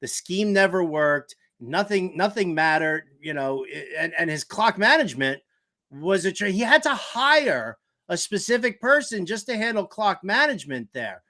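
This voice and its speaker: A man hosting a casual sports talk interview, emphatic and halting as he builds his questions. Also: sits high, big pitch swings, bright, forceful tone.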